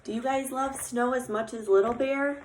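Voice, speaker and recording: A woman's voice: fairly high pitch, steady tone, moderate pace, slight background noise. A woman teacher reading a children's story aloud expressively and explaining it simply with questions. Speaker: kindergarten teacher. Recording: echoey room.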